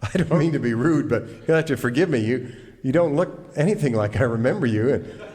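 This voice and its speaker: Cowboy radio host , played by a man, incredulous straight man, animated delivery, live comedy, reverberant hall, faint noise. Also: mid-range voice, fairly quick, close to an everyday voice. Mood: politely doubtful. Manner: courteous, hesitant, incredulous.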